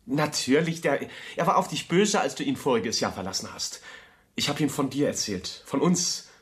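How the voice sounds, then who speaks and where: An actor playing an eager, devoted young architect in a radio play, speaking earnestly and insistently and repeating himself. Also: male voice, medium pitch, very animated, normal speed, natural voice. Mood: excited, hopeful. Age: young adult. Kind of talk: German radio play acting.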